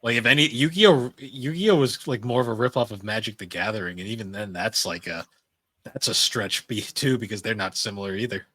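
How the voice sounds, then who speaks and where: A man's voice: fairly low pitch, fairly quick, fairly bright tone, no room sound. A man co-hosting a podcast, chatting casually and informally in loose, rambling remarks. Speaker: podcast co-host. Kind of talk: casual chat about anime and games.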